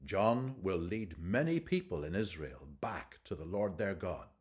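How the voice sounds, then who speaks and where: An actor playing an angel, a measured and authoritative messenger of God, in a radio drama. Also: male voice, deep voice, animated. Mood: calm, reassuring. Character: angel Gabriel, calm, authoritative, stern male messenger of God.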